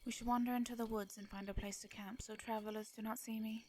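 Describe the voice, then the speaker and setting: A woman playing a shy, formal vampire in a roleplay audio drama, with an English accent, a soft breathy voice and hesitant, flustered phrasing. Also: a little high, level tone, fast talker, a little hiss or hum, natural voice. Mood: formal, considerate.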